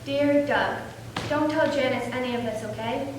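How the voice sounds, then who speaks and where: A woman's voice: sits high, normal speed, close to an everyday voice. A woman playing a frightened, haunted young male soldier writing home from war in a readers theater piece. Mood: anguished. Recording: echoey hall from far off, faint noise.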